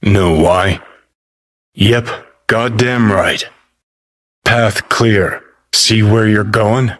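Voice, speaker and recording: A jaded rebel rockerboy, a digital ghost in a video game. His voice sits fairly low and moves at a very unhurried drawl. He is gruff and sardonic, speaking in short, clipped phrases. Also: fairly bright tone. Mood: brusque. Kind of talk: video game character voice acting.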